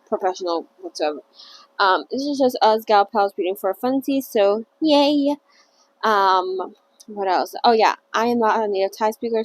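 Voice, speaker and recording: A woman's voice: mid-range voice, darkish tone, poor audio. A woman hosting a casual read-along of a novel, chatty and a little self-conscious, with lots of fillers and laughs. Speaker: channel host reading a novel aloud.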